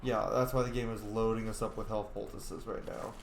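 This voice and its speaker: A man casually commenting on a role-playing video game as he plays, chatty and excitable, swearing freely at the game. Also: on the low side, brisk, gravelly, slight background noise. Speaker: gameplay commentator. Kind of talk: casual let's-play commentary. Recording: roomy echo with game music. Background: video game music and sound effects.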